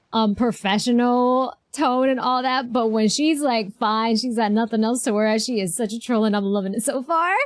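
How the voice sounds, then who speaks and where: A woman's voice: on the high side, no echo, clean studio sound. A woman streamer giving casual game reaction commentary, chatty and thinking aloud. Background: faint game music.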